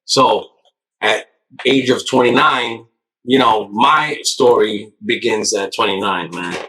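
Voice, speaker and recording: Bright, husky-voiced man telling his story. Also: fairly low pitch, slow pace, rough recording. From United States. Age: 30s. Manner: rambling, animated storytelling with frequent "you know". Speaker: podcast guest. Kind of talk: casual podcast chat.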